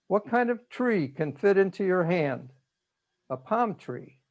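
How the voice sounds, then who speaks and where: A man's voice: sits high, gravelly, darkish tone, heavily acted. A man playing a personified holiday in an amateur reader's theater, delivering corny comic patter on a video call. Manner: corny question-and-answer joke delivery.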